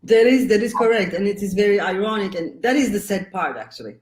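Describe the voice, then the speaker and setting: A woman's voice: medium pitch, animated, a little mellow. Reflective Turkish-born woman writer, roomy hall. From Turkey. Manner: reflective, halting, laughs between phrases. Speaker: panel speaker, writer. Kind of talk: panel discussion.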